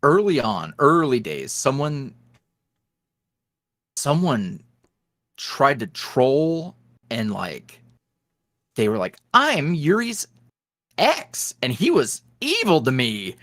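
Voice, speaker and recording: A man's voice: medium pitch, wildly swooping pitch, slow pace, pauses often, fairly bright tone, no room sound, clean studio sound. A man being interviewed as a guest, chatting casually and telling rambling stories with frequent laughs. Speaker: ASMR roleplay creator, podcast guest.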